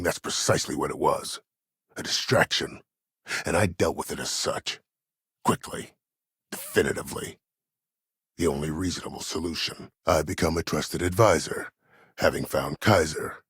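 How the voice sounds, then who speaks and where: An actor playing a disgraced, ruthless older military commander in a video game, speaking in a measured, formal, imperious and calculating way. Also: male voice, a bass voice, fairly bright tone, husky, dry recording, clean studio sound, full character voice. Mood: cold, matter-of-fact. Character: disgraced ruthless old military commander, coldly self-justifying schemer. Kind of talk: dramatic game dialogue.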